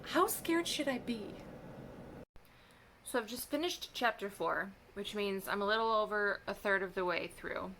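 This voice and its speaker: A woman reading vlogger chatting casually and expressively, with halting thoughts that trail off. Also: medium pitch, lively intonation, fairly bright tone, a little hiss or hum, poor audio. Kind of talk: casual reaction vlog chat.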